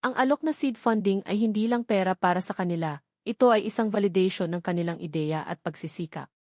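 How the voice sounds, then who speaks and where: A woman's voice: mid-range voice, a little fast, a little mellow, no room sound, studio quality. A woman with a Filipino accent reading a scripted story as the narrator of an audio drama.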